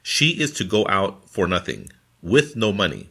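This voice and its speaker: A man reading scripture from a formal translation in a deliberate, phrase-by-phrase manner. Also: deep voice, huge pitch swings, creaky, pushed and bright. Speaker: scripture reader.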